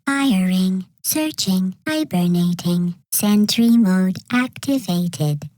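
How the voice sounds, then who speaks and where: A video game robot turret with a high, childlike voice. It speaks very slowly in soft, innocent, singsong swoops. Its politeness carries a sweet menace, and the sound is dry and close. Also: female voice, lively intonation, dry recording, low-quality recording. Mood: innocent, searching. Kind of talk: short video game voice lines.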